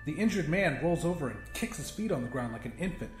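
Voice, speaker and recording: A man's voice: fairly high pitch, expressive, quick pace, echoey room, faint music behind. A man reading a first-person story as a dramatic audiobook narrator.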